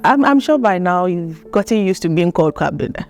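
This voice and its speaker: A woman with a fairly low voice hosting a relaxed interview. She is lively, laughs easily and lets her questions wander before landing. Soft music plays faintly underneath. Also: a little fast. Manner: lively, laughs often, asks long rambling questions. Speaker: host. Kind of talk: casual interview chat.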